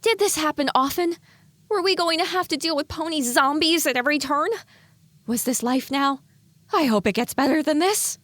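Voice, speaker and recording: A woman's voice: high-pitched, normal speed, fairly bright tone, no echo, pitched above the performer's own voice. A woman voicing the inner thoughts of an anxious, earnest young female pony in an audio drama. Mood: worried. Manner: earnest, worried self-talk.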